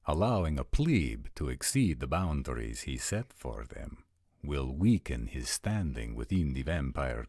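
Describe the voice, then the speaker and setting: Man playing a stern, deep-voiced vampire prince. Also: a little mellow, no room sound, clean studio sound, heavily acted. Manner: formal, measured. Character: proud, formal male vampire prince, stern ruler. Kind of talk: scripted roleplay audio drama.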